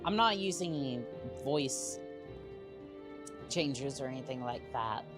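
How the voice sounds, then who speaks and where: A woman with an extremely low voice chats slowly with her stream viewers, husky and worn from days of coughing. She rambles and restarts her sentences, and faint game music plays softly underneath. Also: animated. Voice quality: husky, strained voice. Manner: rambling, halting, many fillers and restarts. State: sick with a cough. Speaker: VTuber streamer. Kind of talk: casual live-stream chat while gaming.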